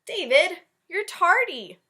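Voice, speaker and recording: A woman's voice: extremely high pitch, sing-song, takes it slowly, sharp tone, pitched above the performer's own voice, full character voice. A woman voicing a strict, exasperated schoolteacher giving firm, short orders to a naughty pupil in a picture-book read-aloud. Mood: stern.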